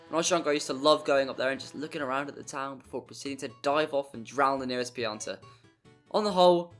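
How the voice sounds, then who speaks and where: A man's voice: medium pitch, fairly bright tone. A man narrating a scripted video game review in a wry, jokey manner, given to exaggeration. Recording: voice-over with music behind.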